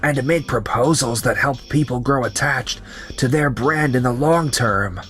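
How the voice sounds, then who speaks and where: A man acting as a young businessman in a romcom manga dub, explaining things in a measured way over background music. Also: medium pitch, a bit bright, natural voice.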